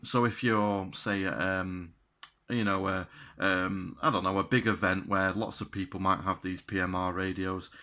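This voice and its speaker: A man giving a casual, unscripted product review in a halting but enthusiastic way. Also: low-pitched, background noise. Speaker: radio gear reviewer.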